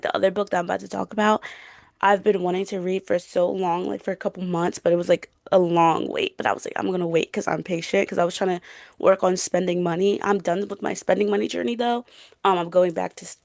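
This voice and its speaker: Creaky-voiced woman chatting casually about books. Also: mid-range voice, steady tone, fairly quick, a little mellow, dry recording, clean studio sound. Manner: informal, youthful slang, self-correcting. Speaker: co-host of a book podcast.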